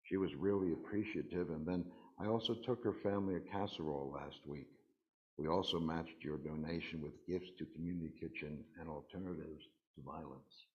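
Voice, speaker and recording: Very deep-voiced man reading stories aloud. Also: a little fast, a little mellow, lo-fi sound. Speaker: reader at a worship service.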